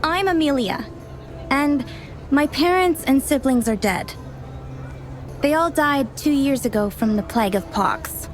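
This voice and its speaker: Orphan girl: high, animated, feisty and plaintive, fantasy game dialogue. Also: a little slow, pauses often, soft background music. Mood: defiant, wary. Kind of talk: video game voice acting.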